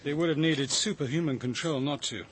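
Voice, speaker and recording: An actor playing a courteous, quietly probing gentleman in a radio mystery, with measured, articulate delivery and an English accent. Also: fairly high pitch, slight background noise, close to an everyday voice. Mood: grave. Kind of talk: naturalistic radio drama acting. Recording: faint outdoor ambience behind the voice.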